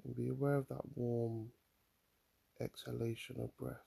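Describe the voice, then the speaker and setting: A man guiding a mindfulness meditation in a calm, soothing manner, leaving long pauses between phrases. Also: mid-range voice, vocal fry, husky. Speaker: mindfulness guide. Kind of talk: guided meditation, body scan.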